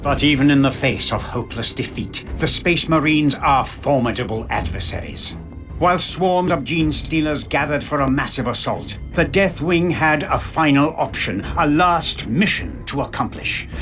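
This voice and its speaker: A male narrator with a fairly high pitch tells a grim game story. His delivery is scripted, dramatic and grave, over faint music. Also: fairly bright tone.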